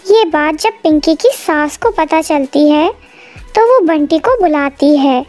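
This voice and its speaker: A woman's voice: sits high, lively intonation, a little slow, darkish tone, no echo, faint music behind. A woman narrating a scripted cartoon story as the storyteller.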